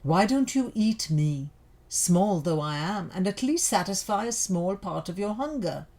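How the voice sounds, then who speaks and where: A small, loyal crow from a storybook fable, voiced by a woman. The self-sacrificing bird speaks earnestly and formally, with lively rises and falls in pitch. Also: a little slow. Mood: earnest, pleading.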